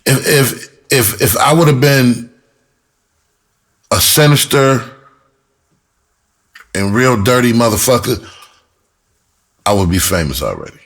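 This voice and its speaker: A man's voice: mid-range voice, expressive, slow pace, long pauses, a bit bright. A middle-aged man telling personal stories casually as a host, candid and reflective, with streetwise slang. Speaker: podcast host and music artist.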